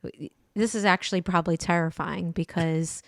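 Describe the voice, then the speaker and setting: A woman co-hosting a podcast in casual chat, joking and laughing often, her voice a bit deeper and tired in the evening. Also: steady tone, normal speed, creaky, no echo, studio quality. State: tired late in the day. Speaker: podcast co-host.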